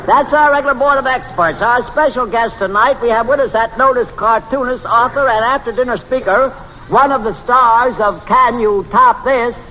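High, bright-voiced old-time radio quiz host. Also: male voice, normal speed. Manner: exasperated straight man. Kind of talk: scripted 1940s radio comedy. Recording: hissy old radio recording in reverberant hall.

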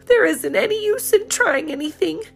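Tearful little girl, very high and pleading, read by a woman, soft music under. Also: expressive, moderate pace, vocal fry, exaggerated voice. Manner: high, crying, breathless pleading. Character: young girl, tearful and earnest. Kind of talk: audiobook character voice.

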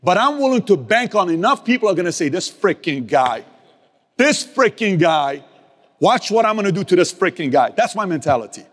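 Man, motivational leader, emphatic and repetitive, very lively delivery, reverberant hall. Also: high voice, moderate pace. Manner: emphatic, repeats key phrases, swings from loud to hushed; casual street slang and mild cursing. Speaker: business leader speaking to his team. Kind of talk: motivational speech.

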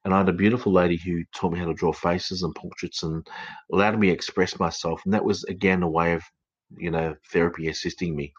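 A man's voice: deep voice, steady tone, fast, husky, dull audio. A middle-aged counsellor hosting his podcast from home, with an Australian accent that has a few English traces, chatting casually and rambling with many fillers and restarts. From United Kingdom, raised in Australia. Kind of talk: casual live-stream podcast talk.

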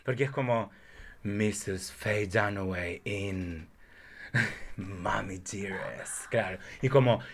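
A man's voice: lowish voice, slow. A man chatting casually and effusively about films as a podcast guest, with a campy way of talking. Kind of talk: casual film chat.

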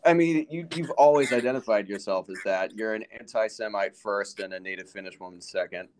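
A man co-hosting a relaxed podcast chat, his voice sitting a little low. He keeps things laid-back and drops jokes with a dry touch. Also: fairly quick, a little hiss or hum. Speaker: podcast co-host. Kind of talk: casual chat.

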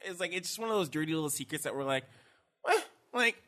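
A man's voice: on the high side, expressive, quick pace, sharp tone. A man giving an esports news segment on a casual podcast, rambling loosely and laughing often.

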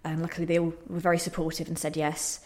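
A woman's voice: deep voice, monotone, fast talker, a little mellow. A young woman giving reflective interview answers in a hesitant, self-deprecating, thoughtful way. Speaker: playwright being interviewed.

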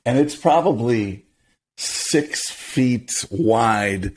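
A man's voice: medium pitch, expressive, drawn-out words, breathy edge. A man co-hosting a podcast in casual back-and-forth chat, playful and teasing. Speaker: podcast co-host.

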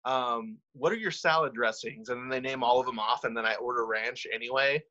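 A man's voice: mid-range voice, fairly quick, sharp tone, lo-fi sound. A man co-hosting a casual sports podcast, chatty and self-deprecating, rambling with fillers. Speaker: podcast co-host. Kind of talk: casual chat.

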